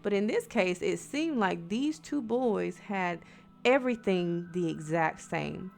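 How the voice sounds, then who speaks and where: A woman's voice: mid-range voice, animated, a little slow, creaky, darkish tone. An American woman storyteller telling eerie bedtime stories in a conversational way, addressing listeners as friends with dramatic emphasis and rhetorical questions. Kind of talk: spooky bedtime storytelling with casual asides. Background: music.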